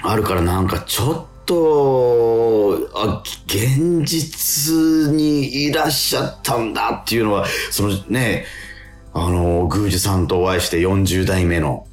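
A man co-hosting a casual radio show, telling anecdotes and making announcements in a halting way with lots of self-interjections. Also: fairly low pitch, soft background music.

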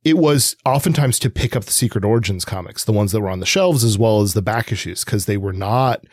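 A man with a lowish, husky voice co-hosts a podcast. He talks casually and chattily, wandering off into asides, in a dry recording without room sound. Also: brisk, dry recording. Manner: chatty, digressive. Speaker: podcast co-host. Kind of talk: casual rambling discussion.

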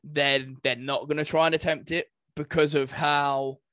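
A man's voice: on the high side, little pitch movement, a little slow, fairly bright tone, no room sound, studio quality. A man chatting casually as a podcast co-host. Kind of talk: casual chat.

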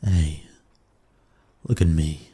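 A man plays a seductive male incubus in an intimate ASMR roleplay. His voice is very low and dark, and he takes his time, purring slowly. He is smug and dominant, yet coaxing and soothing. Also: fairly even intonation, heavily acted. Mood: seductive, boastful. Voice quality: low, breathy, husky. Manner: slow, teasing, purring, coaxing with pet names.

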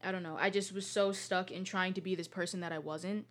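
A woman's voice: fairly low pitch, steady tone, brisk, fairly bright tone. A teenage girl in casual conversation, chatty and laughing often, with many "like" fillers. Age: teens. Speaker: guest. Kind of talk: casual chat.

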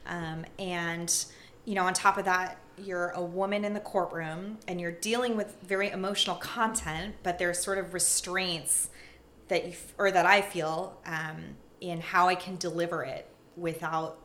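Soft-voiced woman with a bright tone. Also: lowish voice, fairly even intonation, unhurried, slight background noise. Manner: thoughtful, hesitant, many fillers and restarts. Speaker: prosecutor, podcast guest. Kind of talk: casual conversation.

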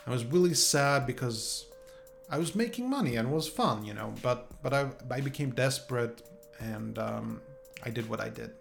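Gravelly man chatting casually to viewers. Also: mid-range voice, animated, faint music behind. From Sweden. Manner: halting, rambling, informal. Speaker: YouTuber. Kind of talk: casual chat to viewers, unscripted.

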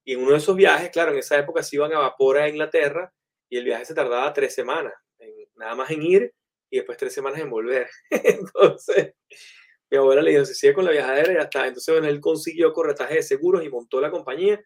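Guy chatting away, lots of eh and um, voice a bit high. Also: fairly quick. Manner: animated, anecdotal, many fillers. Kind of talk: casual storytelling in conversation.

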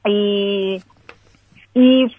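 A woman's voice: mid-range voice, steady tone, extremely slow, dry recording. A woman with a Brazilian Portuguese accent, a native Portuguese speaker and guest filmmaker, speaking Spanish hesitantly. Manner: hesitant, laughs often. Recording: tinny phone line.

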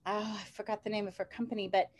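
A woman's voice: medium pitch, little pitch movement, fast talker. A woman from New Orleans hosting a business podcast, who talks in an enthusiastic, chatty way. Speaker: podcast host. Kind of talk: podcast interview.

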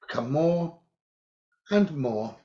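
A man leading a guided meditation in a slow, soothing instructional style, speaking in measured, hushed short phrases with pauses. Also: a little high, big pitch swings, lo-fi sound.

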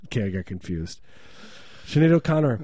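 A man's voice: lowish voice, sing-song, a little fast, mellow, husky, dry recording. A man doing improvised comedy banter as a podcast co-host, joking and drifting into silly bits. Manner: joking, slips into silly put-on voices.

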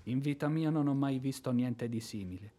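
An actor playing a hot-headed, lovestruck ex-army officer in a theatrical radio drama, bombastic and impulsive. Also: male voice, medium pitch, normal speed, mellow, lightly acted. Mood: desperate ardour. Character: blustering, hot-headed ex-artillery officer, helplessly smitten.

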